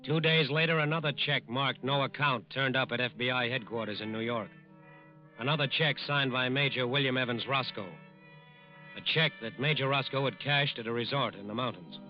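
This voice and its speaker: A man's voice: medium pitch, brisk. A man narrating a 1940s radio crime drama from a script, emphatic and dramatic. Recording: dull old radio recording. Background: music.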